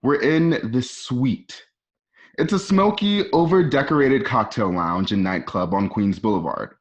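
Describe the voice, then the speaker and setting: A man's voice: medium pitch, animated, measured pace, muffled recording. A man narrating screenplay scene directions like a storyteller, recorded at home. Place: at home, remote ensemble session.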